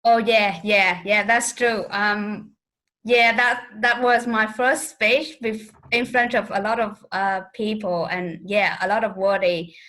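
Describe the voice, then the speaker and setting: A woman's voice: mid-range voice, steady tone, takes it slowly, sharp tone. Hesitant woman with many fillers. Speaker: speech club member. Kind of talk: casual spoken reflection on a video call. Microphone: video call.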